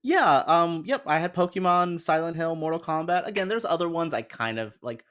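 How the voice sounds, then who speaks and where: A man's voice: on the high side, lively intonation, a little fast, fairly bright tone. A man talking as a guest panelist in a casual film chat, with an enthusiastic, informal fan's way of speaking. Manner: enthusiastic, informal fan talk.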